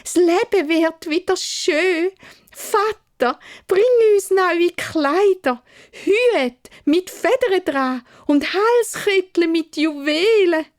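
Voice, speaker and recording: Lady doing a snooty greedy young sister from a fairytale, swiss german, high whiny exclaiming voice. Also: expressive, takes it slowly, full character voice. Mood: greedy excitement. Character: haughty, greedy, spoiled young elder sisters.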